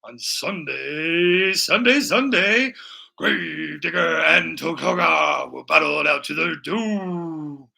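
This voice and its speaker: Booming male arena announcer, as a streamer puts him on: shouted, drawn out, bright and high. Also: gravelly, slightly rough, heavily acted. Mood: hyped. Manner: shouted hype, drawn-out words.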